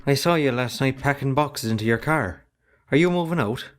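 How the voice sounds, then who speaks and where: A reticent young Irishman , acted by a man, with a medium-pitched voice. He is a farmer's son in drama dialogue, secretive and guarded. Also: moderate pace, close to an everyday voice. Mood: curious.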